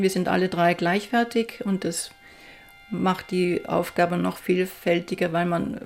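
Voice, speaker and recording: A woman's voice: on the low side, vocal fry. A middle-aged woman who grew up in Austria, a violinist speaking reflectively and with enthusiasm about her work in a documentary interview.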